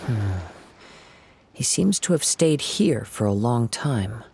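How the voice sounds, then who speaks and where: A man voicing a calm, thoughtful young wandering samurai in a video game, speaking in measured, formal phrases. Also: medium pitch, vocal fry, heavy background noise. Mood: calm, pensive.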